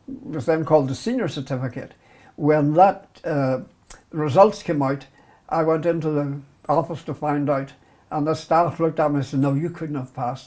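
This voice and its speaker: A man's voice: fairly high pitch, darkish tone. An older man with a Belfast accent, looking back on his life in an interview, self-deprecating and often breaking into chuckles. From Northern Ireland. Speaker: interviewee, retired doctor. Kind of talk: reminiscing interview.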